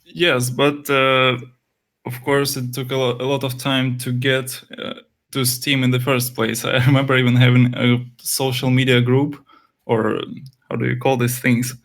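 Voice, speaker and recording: A man's voice: medium pitch, level tone, measured pace. A young man whose first language is Russian, a game developer answering interview questions hesitantly, with many fillers and restarts.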